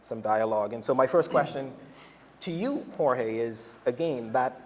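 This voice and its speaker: A man's voice: medium pitch, moderate pace, darkish tone, a little hiss or hum. A man, an art professor, asking careful questions with restarts as he moderates an artist talk in a gallery. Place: gallery hall. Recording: long hall reverb, faint ambience.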